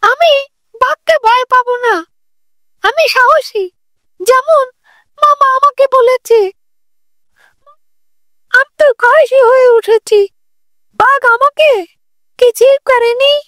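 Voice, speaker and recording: A woman's voice: extremely high pitch, slow pace, pauses often, fairly bright tone, no room sound, clean studio sound, exaggerated voice. A woman voicing a timid young child in a children's cartoon, earnest and simply spoken. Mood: proud. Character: timid young child nephew learning to be brave. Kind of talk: children's moral-story cartoon dialogue.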